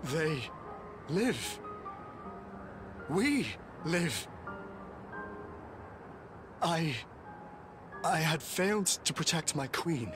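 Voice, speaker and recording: An actor playing a noble, grieving knight in a fantasy game, with formal archaic diction and an emotional, halting delivery. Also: male voice, on the high side. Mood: overcome, relieved. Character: noble, grieving old-fashioned knight, earnest and courtly. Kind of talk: theatrical fantasy game voice acting.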